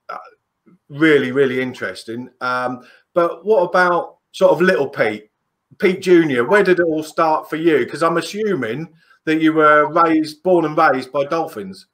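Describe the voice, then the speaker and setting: A man's voice: a little high, a bit bright. A man hosting a podcast on a video call, giving a joking, friendly introduction to his guest. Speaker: podcast host. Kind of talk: casual interview.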